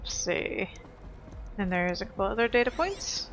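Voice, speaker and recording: A woman's voice: medium pitch, very animated, moderate pace, a little hiss or hum. A woman giving casual gameplay commentary, talking informally as she goes through the game.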